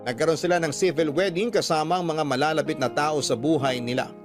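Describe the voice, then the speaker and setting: A man's voice: a little high, a little fast, close to an everyday voice. A man narrating a personal letter as an earnest storyteller in a scripted radio drama. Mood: reflective. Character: earnest letter writer recounting their own life story. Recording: music playing behind narration.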